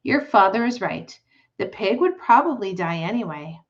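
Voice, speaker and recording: Woman reading as measured, gentle farmer father. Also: medium pitch, lively intonation, dull audio, natural voice. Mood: gentle, firm. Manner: measured, patient.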